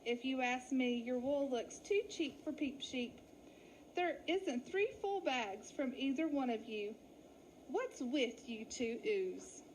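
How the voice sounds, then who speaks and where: A woman's voice: sits high, lively intonation, takes it slowly, a little mellow, a little hiss or hum, low-quality recording. A woman voicing a tough, no-nonsense old ewe in a children's storybook. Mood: suspicious, firm. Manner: firm, no-nonsense. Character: tough old suspicious female sheep, leader of flock. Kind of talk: children's storybook read-aloud.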